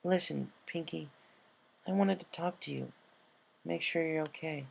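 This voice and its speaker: A woman voicing a young female cartoon pony, a loyal friend full of guilt, speaking in a halting, emotional, pleading way. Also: deep voice, gravelly, darkish tone, husky, pitched above the performer's own voice, heavily acted. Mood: concerned, gentle.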